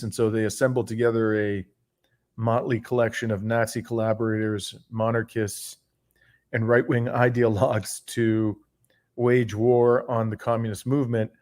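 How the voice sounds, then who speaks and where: A Canadian man in his sixties telling his background as an interview guest, articulate and measured, pausing often mid-sentence. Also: medium pitch, a little mellow. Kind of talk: reflective autobiographical interview talk.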